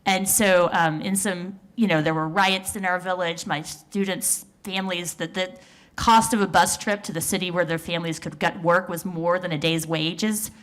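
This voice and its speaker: A woman in her forties speaks from a conference stage in a somewhat low voice. She tells her story earnestly and reflectively, with small fillers along the way. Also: fairly even intonation, moderate pace, a bit bright. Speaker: researcher on a panel. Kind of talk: conference panel talk.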